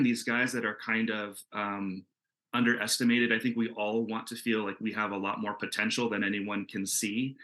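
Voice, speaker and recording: A man answering as a creator on a casual panel over a video call, chatty and halting as he thinks aloud. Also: fairly low pitch, sharp tone, low-quality recording. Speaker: comic book creator and panel guest.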